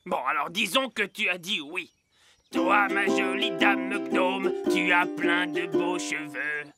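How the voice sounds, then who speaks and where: A performer voicing a blustery, boastful male garden gnome with a gravelly voice in a children's cartoon. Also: mid-range voice, slow, over loud music, close to an everyday voice. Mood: romantic. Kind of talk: animated children's cartoon dialogue.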